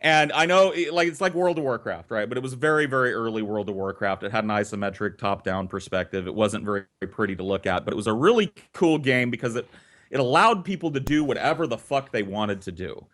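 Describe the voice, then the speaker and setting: A man's voice: mid-range voice, expressive, fast talker, a bit bright. A man hosting a casual talk show, telling stories and bantering with crude, profane teasing. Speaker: storytelling talk show host. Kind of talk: casual group banter and storytelling.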